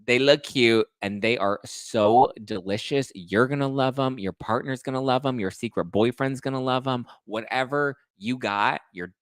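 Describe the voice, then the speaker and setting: A man's voice: mid-range voice, normal speed, hardly any pauses, smooth, no room sound. A man in his thirties hosting a casual gossip livestream, chatting to viewers in a sassy, playful, slangy way. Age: 30s. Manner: sassy, slangy, playful, addressing listeners as honey and boo. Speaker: host of a pop-culture livestream show.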